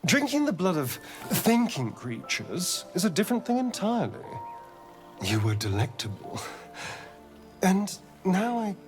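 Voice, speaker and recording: Sly vampire, vain, flirtatious, unhurried, widely swooping pitch, video game drama. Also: male voice, huge pitch swings, over music, full character voice. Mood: seductive. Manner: theatrical, flirtatious, arch, drawn-out delivery. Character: sly, vain male vampire, centuries old, hungry for power.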